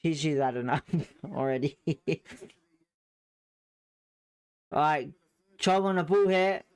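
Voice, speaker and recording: A man talks through a match as a live fan host, calling the action in a casual, opinionated way and often hedging his views. His voice is clear and smooth, sitting a little above the usual male pitch. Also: unhurried.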